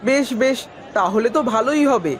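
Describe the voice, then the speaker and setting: A man voicing a mild but stubborn, honest village farmer in an animated moral tale. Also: very high voice, moderate pace, a faint crowd, slight background noise.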